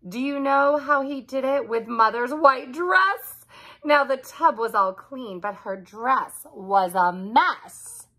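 A woman in her thirties narrates a children's story expressively. Her pace is unhurried, and her voice is bright with a slightly husky grain. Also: high-pitched. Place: small furnished room indoors.

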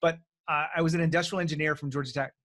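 A man's voice: on the high side, fairly even intonation, rapid-fire, fairly bright tone. A man in his forties hosting a business podcast, talking in an upbeat, direct way from a home office on a studio mic. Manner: upbeat, promotional, direct address. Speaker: podcast host. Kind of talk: podcast intro and interview. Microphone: studio mic on boom arm.

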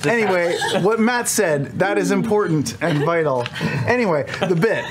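A man around his forties doing an animated, comedic sponsor read live among friends in a studio. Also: high voice, normal speed, a loud crowd. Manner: animated, performative. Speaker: cast member presenting a sponsor segment. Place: studio set, indoors. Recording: clear background noise.

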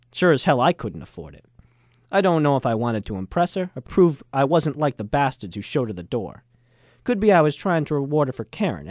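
A man's voice: medium pitch, a little mellow, no echo, studio quality. A man playing a wry, slangy American ex-journalist in his thirties, narrating and talking in a 1930s noir radio drama. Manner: wisecracking, slangy. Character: wry, hard-boiled American ex-journalist of thirty-two in 1939.

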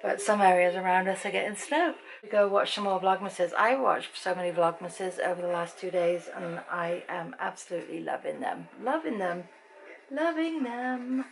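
A woman in her fifties from Britain with an English accent, chatting to a handheld camera in a dim room at night. Her voice is croaky, and she rambles and laughs often. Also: fairly low pitch, normal speed, a little hiss or hum, low-quality recording. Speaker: vlogger. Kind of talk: casual chat to camera. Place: bedroom at night, dim lamp light. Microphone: handheld camera at arm's length.

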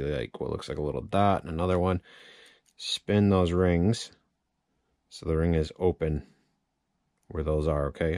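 A man's voice: low voice, a little mellow, no echo, clean studio sound. A man giving a casual hands-on repair tutorial, thinking aloud informally as he works at a workbench. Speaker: chainsaw repair demonstrator. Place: cluttered indoor workshop at a workbench.

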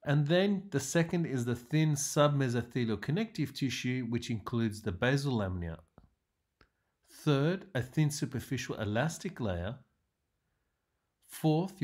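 A man lecturing in a measured, explanatory way, narrating slides as a medical teacher with emphatic sentence openings. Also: medium pitch.

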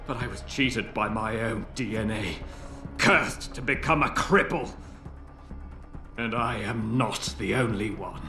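An actor playing an aging, crippled visionary inventor in a video game, eloquent and theatrical, grandiose, bitter and anguished. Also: male voice, on the high side, creaky, bright, forceful tone, full character voice. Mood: bitter, agitated. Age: older. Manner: eloquent, theatrical, deliberate oratory. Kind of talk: video game cutscene acting. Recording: roomy game audio with faint music.

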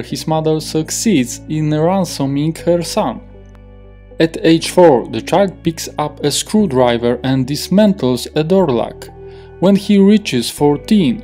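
A man's voice: a little high, a little mellow. Polish-accented man narrating history. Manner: careful, earnest reading. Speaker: history channel host. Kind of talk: scripted narration. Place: home office with desk and plant. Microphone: lapel mic.

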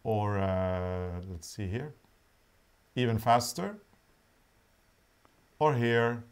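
A man's voice: lowish voice, animated, extremely slow, smooth. A middle-aged man co-hosting a knitting channel, chatting playfully to camera in a living room, with the camera on the table. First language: Norwegian. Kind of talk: casual chat to camera.

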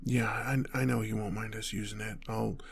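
A male actor performs a protective boyfriend on the run in an intimate audio roleplay. His voice is husky and creaky at a middling pitch, with little melodic movement. He stammers under pressure, urgent yet tender toward his partner. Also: flat delivery, moderate pace. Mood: calming, weary.

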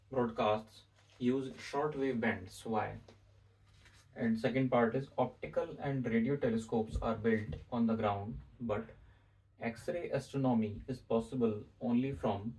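A middle-aged man with an Indian accent explains as a physics teacher, deliberately and repeating key phrases, in a small room. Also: mid-range voice, darkish tone. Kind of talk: explanatory whiteboard lesson. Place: small indoor room with whiteboard. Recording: distant microphone in a room.

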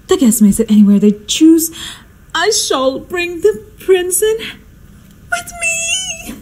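A woman's voice: sits high, sing-song, a little slow, darkish tone, husky, full character voice. A woman playing a histrionic society hostess and mother in a radio drama, speaking in a flustered, theatrical, exclamatory way. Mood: elated. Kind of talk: stagey radio drama acting.

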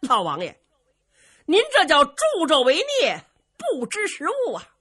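A woman voicing a bold, defiant young male general in a traditional storytelling performance, speaking loudly and declaiming. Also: high-pitched, huge pitch swings, unhurried, pitched above the performer's own voice, heavily acted. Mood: accusing. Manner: loud, declamatory storyteller delivery.

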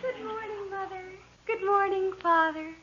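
A woman's voice: very high voice, moderate pace. A woman playing a dreamy, haughty teenage daughter with an affected, gushing manner in a 1940s radio sitcom. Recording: muffled, very noisy vintage radio with audience.

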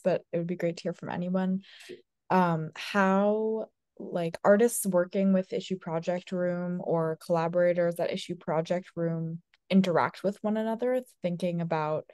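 A woman's voice: lowish voice, mellow, no echo, clean studio sound. A young woman moderating a video call, asking a question in a friendly, curious way. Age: young adult. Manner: friendly, curious, casual fillers. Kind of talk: panel conversation on a video call. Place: indoor room with window.

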